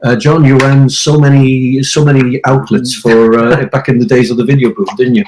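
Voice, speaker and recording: Brief: Welsh-born man, first language Welsh, talkative guest author, rambling and friendly-paced, mid-pitched voice. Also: level tone, moderate pace, darkish tone, faint music behind, rough recording. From Wales. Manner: chatty, rambling, many fillers. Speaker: interviewed author and producer. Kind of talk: casual interview talk.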